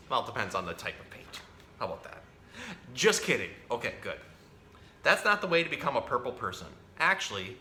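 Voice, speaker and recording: A man hosting a children's storytime, reading a picture book aloud in a playful, expressive way and talking directly to young listeners. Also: mid-range voice. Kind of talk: animated read-aloud of a children's picture book with chatty asides to a pet parrot. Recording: roomy echo, faint background noise.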